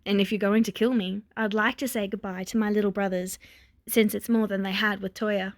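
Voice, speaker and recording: A woman's voice: medium pitch, flat delivery, brisk, no room sound, clean studio sound, close to an everyday voice. A woman voicing a composed young woman held hostage, a protective big sister, polite but firm with emotion held back. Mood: controlled, grim. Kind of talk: dramatic fan fiction reading.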